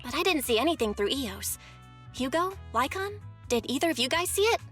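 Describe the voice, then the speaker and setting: A woman voicing a curious, energetic young woman in a game cutscene, asking quick questions. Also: sits high, faint music behind.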